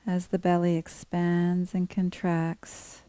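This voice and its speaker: Slow, low-pitched woman guiding meditation. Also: clear, resonant tone, no room sound. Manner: calm, unhurried, gently measured phrasing with pauses. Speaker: meditation teacher guiding a body scan. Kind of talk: guided meditation, scripted instruction.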